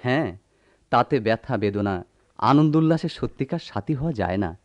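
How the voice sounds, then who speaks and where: An actor playing a suave, flowery suitor, a company manager, with poetic theatrical delivery in a radio drama. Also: male voice, medium pitch, animated, a little fast, dry recording, lo-fi sound, natural voice. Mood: suave, friendly. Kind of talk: melodramatic radio drama acting.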